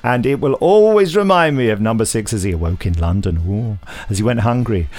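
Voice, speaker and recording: Male podcast host, highly varied intonation, playful and emphatic, reads aloud and banters. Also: animated, a little fast, darkish tone. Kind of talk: reading listener email aloud with chatty asides.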